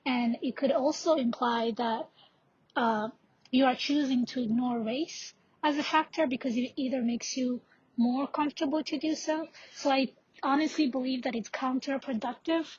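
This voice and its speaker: A woman's voice: high-pitched, a little mellow, breathy edge, dry recording. A young woman in her twenties, a student mentor speaking earnestly in a podcast discussion. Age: young adult. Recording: dull lo-fi audio, faint noise.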